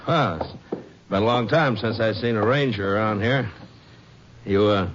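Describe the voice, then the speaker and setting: An actor playing a stammering, evasive rancher. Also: male voice, fairly low pitch, moderate pace, fairly bright tone, slight background noise, natural voice. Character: nervous evasive middle-aged male rancher suspect. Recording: muffled vintage radio broadcast.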